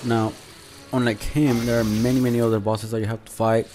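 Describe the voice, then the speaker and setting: A man giving casual, unscripted gameplay commentary as a channel host, talking in a halting, conversational way. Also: mid-range voice, little pitch movement, warm tone, faint music behind. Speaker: video game review channel host.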